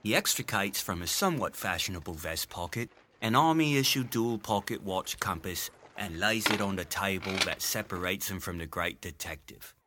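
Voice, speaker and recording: A man's voice: low voice, animated, normal speed. A man playing a wordy, theatrical Victorian narrator who addresses the listener in a florid, wry manner. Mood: wryly explanatory. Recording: noticeable ambient background noise.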